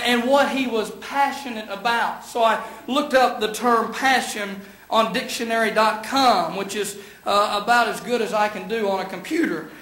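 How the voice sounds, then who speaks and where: High-pitched young Kentucky preacher man. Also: sharp tone. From western Kentucky, United States. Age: young adult. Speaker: student preacher. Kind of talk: sermon with folksy anecdotes. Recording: echoey room.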